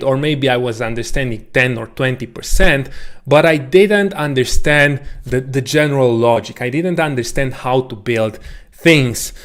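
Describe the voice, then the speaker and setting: A man whose first language is Romanian, telling a casual personal story to camera as a coding educator, with a relaxed, self-deprecating manner. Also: mid-range voice. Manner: relaxed, self-deprecating, frequent restarts and small laughs.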